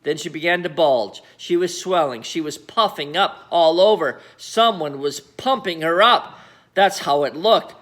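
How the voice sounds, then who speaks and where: A man reading a children's story aloud as storyteller, with dramatic, emphatic phrasing and deliberate pauses. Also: fairly high pitch, a bit bright. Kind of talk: animated children's story narration.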